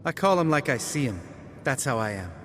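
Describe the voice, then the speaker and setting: An actor playing a cynical, needling monster hunter in a video game, blunt and sardonic. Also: male voice, mid-range voice, big pitch swings, fairly quick, a bit bright, big-room sound, slight background noise. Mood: sardonic, bitter.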